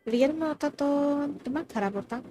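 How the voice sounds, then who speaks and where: High-pitched Indian woman streamer. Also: rapid-fire, warm tone, faint music behind. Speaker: game streamer. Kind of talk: casual live gameplay commentary, mixing Hindi and English.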